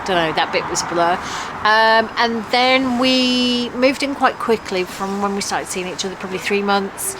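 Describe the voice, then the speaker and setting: A woman's voice: medium pitch, moderate pace, noisy background. A woman in her forties with an English accent, chatting and laughing with her husband for a vlog on a night out. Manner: chatty, laughs often, teasing. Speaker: vlogger co-host. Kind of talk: casual chat on a night out. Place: outdoors on town streets, then a busy restaurant. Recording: loud music and crowd behind.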